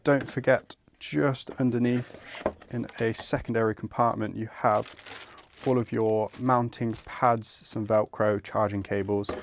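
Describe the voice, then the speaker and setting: A man's voice: fairly low pitch, vocal fry, slight background noise. A man presenting an installation tutorial, explaining each step in a methodical, informal way. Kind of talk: instructional step-by-step tutorial narration.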